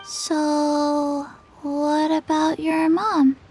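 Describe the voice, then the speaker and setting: Deadpan small girl game character: high pitch, dragging halting pace. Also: poor audio. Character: small, flat-toned, slow-speaking young girl pharmacy helper. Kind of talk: video game character acting. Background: music.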